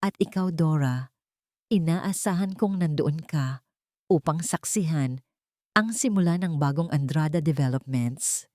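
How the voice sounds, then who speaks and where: A woman's voice: low voice, measured pace, darkish tone, dry recording. A woman narrator voicing an arrogant, scornful businessman husband who announces boastfully in an audio story. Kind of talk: audio story character dialogue.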